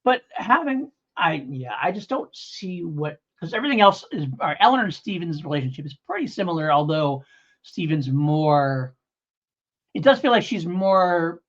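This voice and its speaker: Hedging podcast reviewer, husky and muffled. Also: mid-range voice. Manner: hedging, rambling, thinking aloud. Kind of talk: casual review discussion. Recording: dull lo-fi audio.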